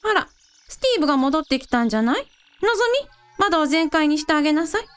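A woman playing a gentle, motherly character in a radio drama, caring and mildly scolding in manner. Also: sits high, lively intonation, fast, soft background music. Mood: observant. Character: gentle, motherly woman who looks after everyone. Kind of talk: Japanese radio drama acting.

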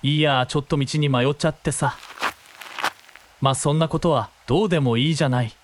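A man plays a menacing thug in a radio drama. His voice sits a little high for a man, and he talks in coarse, cocky slang with a taunting edge. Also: steady tone, brisk, background noise, close to an everyday voice. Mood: smug, leering. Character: cocky, menacing male thug pestering a shrine maiden. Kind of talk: Japanese radio drama acting.

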